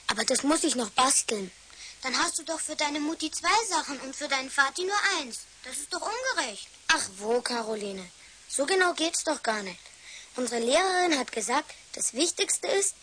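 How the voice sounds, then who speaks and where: A woman's voice: high-pitched, sharp tone. A woman playing an earnest schoolchild in a children's radio play. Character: earnest schoolchild discussing Christmas presents. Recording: slightly hissy old radio recording.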